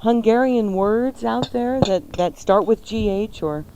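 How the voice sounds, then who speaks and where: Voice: mid-range voice, a little slow, noisy background. A radio co-host making casual, playful banter and chiming in with short remarks and questions.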